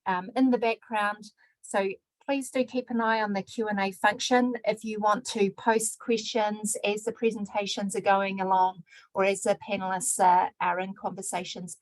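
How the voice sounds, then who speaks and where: A woman opening and hosting a research webinar, measured, mixing in Māori greetings. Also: mid-range voice, no room sound, poor audio. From New Zealand. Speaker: webinar host and research director. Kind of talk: scripted webinar introduction.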